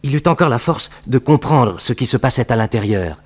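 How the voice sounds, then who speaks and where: Actor as a mid-pitched male adventure narrator. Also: animated, fairly quick, darkish tone, natural voice. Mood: dramatic. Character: earnest male detective's sidekick recounting adventures. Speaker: narrator and detective's sidekick. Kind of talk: dramatic scripted narration, 1960s French radio serial.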